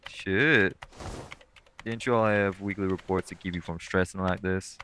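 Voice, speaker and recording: Man playing a low, gravelly, sweet boyfriend. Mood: relaxed, caring. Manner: laid-back, coaxing, slangy. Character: sweet, caring, teasing lightning elemental boyfriend. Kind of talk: intimate boyfriend audio roleplay, casual speech.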